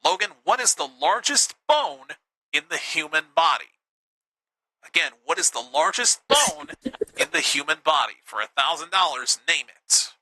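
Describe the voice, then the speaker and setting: A man hosting a live game show with an upbeat, teasing manner, a little hoarse. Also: fairly high pitch, big pitch swings, moderate pace, pushed and bright, no room sound, low-quality recording. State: slightly hoarse.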